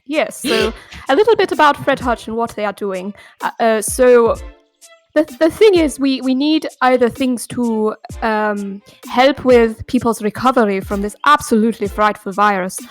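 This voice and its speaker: A woman's voice: a little high, moderate pace, faint music behind, close to an everyday voice. A woman voicing a prim, pompous upper-class lady from the 1800s, co-hosting a livestream. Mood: earnest, baffled. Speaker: charity speedrun marathon co-host.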